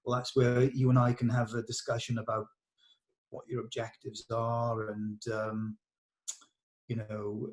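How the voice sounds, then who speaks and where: A man's voice: mid-range voice, darkish tone. A man, speaking as a director in an informal rehearsal, in a hesitant, thoughtful and encouraging way. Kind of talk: informal rehearsal discussion, some reading of stage directions.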